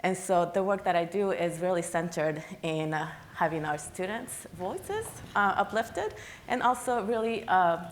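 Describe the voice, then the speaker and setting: An upbeat woman from Guatemala with a slightly low voice, engaging the audience from a stage panel. Faint room noise runs under her words. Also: animated, measured pace, roomy sound. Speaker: panelist, high school teacher. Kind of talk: panel self-introduction. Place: stage in a museum hall.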